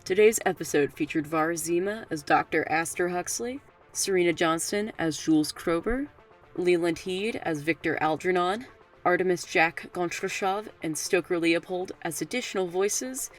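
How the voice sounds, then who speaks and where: Female announcer for scripted credits, lower register, soft music bed, slightly off mic. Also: normal speed. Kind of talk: scripted end-credits read. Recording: slightly distant, music behind.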